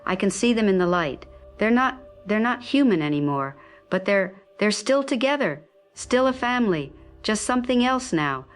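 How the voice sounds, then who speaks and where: An actress playing a dazed, awestruck survivor calling a radio show, speaking haltingly in wonder. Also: female voice, mid-range voice, lively intonation, soft background music, close to an everyday voice. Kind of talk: radio drama acting, phone-in.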